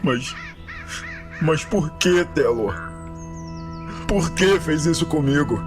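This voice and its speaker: An actor playing a young paladin turned horned tiefling, distraught, pleading and halting, in an audio drama. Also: male voice, on the high side, animated. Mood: anguished, pleading. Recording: loud music behind the voice.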